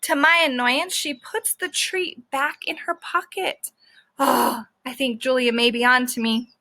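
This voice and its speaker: A woman's voice: high-pitched, steady tone, a little slow, bright, forceful tone, heavily acted, low-quality recording. A woman voicing a cocky, stubborn stray dog who tells his story in sassy, colloquial children's storybook style. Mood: grumbling, wry. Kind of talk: children's book read-aloud.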